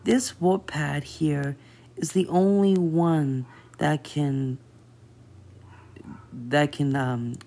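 A woman voicing a gentle, apologetic giant gem ruler in an amateur cartoon comic dub, polite and halting. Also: sits low, steady tone, vocal fry, full character voice. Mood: kindly.

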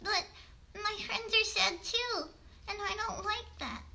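A woman's voice: very high voice, gravelly, sharp tone, a little hiss or hum, pitched above the performer's own voice, exaggerated voice. A woman voicing a sad, sleepy little girl puppet in a puppet show, talking in a hesitant, childlike way. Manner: slow, hesitant, childlike speech.

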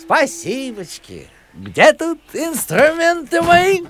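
An actor voicing a fussy, rule-bound middle-aged village postman in a cartoon, officious yet quaintly polite, with a creaky, sharp voice. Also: very high-pitched, wildly swooping pitch, slow, full character voice. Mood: polite, flustered. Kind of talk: animated cartoon acting.

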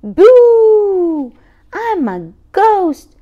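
A woman voicing an excitable, wide-eyed happy baby from a picture book, in simple childlike exclamations. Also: very high voice, drawn-out words, phone-quality sound, voice pushed up, full character voice. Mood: excited, playful.

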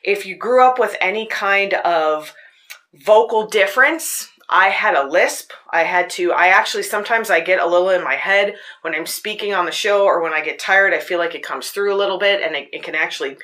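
A woman with a fairly low voice hosting a podcast, telling personal stories in an earnest, searching way. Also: a little fast, sharp tone. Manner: earnest, personal storytelling with frequent restarts. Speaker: podcast host. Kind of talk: casual spoken reflection. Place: Tucson.

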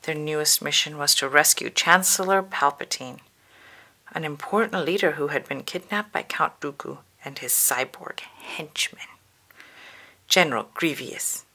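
Low-pitched, creaky woman storyteller. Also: bright, forceful tone. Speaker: storybook narrator. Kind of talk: children's book read-aloud, scripted narration.

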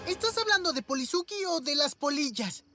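High-voiced determined boy hero. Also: expressive, a little fast, loud music behind the voice. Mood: worried. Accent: Latin American. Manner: insistent, urgent. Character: determined six-year-old boy superhero leader. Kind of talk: children's cartoon dub.

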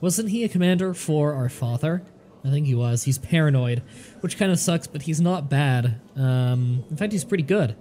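A man's voice: on the high side, expressive, normal speed, warm tone. A man with a nasal voice, stuffed up a little more than usual, giving casual commentary over a strategy game and chatting to its characters. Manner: chatty, thinks aloud, talks to game characters. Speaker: let's play commentator.

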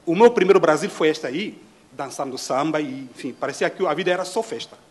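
A middle-aged man from Congo, speaking Portuguese as a panel speaker, in a measured, formal way with frequent pauses. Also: fairly high pitch, a little hiss or hum. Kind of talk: formal reflective talk. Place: dim hall at a conference table.

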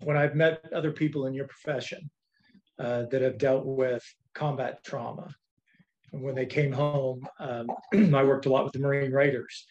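A man's voice: mid-range voice, flat delivery, normal speed, a little mellow, dull audio. A man moderating a video call interview, polite and deferential, addressing guests as sir. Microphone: webcam mic on video call.

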